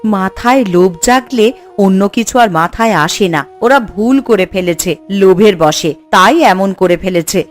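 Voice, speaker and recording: A woman's voice: medium pitch, a little slow, faint music behind, lightly acted. A woman playing a modest, honest village sister-in-law and cook in a cartoon. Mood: earnest, resigned. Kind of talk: Bengali village cartoon voice acting.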